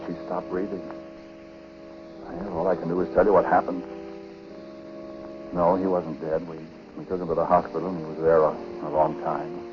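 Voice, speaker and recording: An actor playing a haunted, uneasy husband, narrating a dark story in a halting, conversational 1940s radio style. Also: male voice, sits low, natural voice. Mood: uneasy, defensive. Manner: halting, conversational, brooding delivery. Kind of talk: 1940s radio drama acting and first-person narration. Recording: muffled, noisy vintage 1940s radio broadcast.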